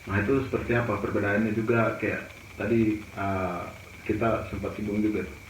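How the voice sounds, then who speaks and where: A man's voice: lowish voice, steady tone, normal speed. A man from Papua with an eastern Indonesian accent, hosting a casual interview. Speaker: host. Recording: muffled, roomy, distant mic, noisy.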